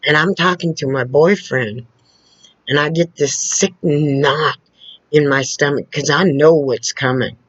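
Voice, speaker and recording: A woman telling personal stories in a casual way, with a loud, carrying voice and a slow, halting delivery full of restarts and asides. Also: low-pitched, dry recording. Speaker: storyteller. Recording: dull lo-fi recording.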